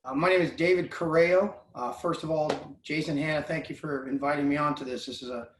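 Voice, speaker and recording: A man in his forties from Southern California talks thoughtfully, with a slightly raised pitch. His voice sounds distant and echoey, as if picked up from across a room. He rambles a little as he gathers his thoughts. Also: fairly even intonation, brisk, a little hiss or hum. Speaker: football coach. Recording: echoey room, far from mic.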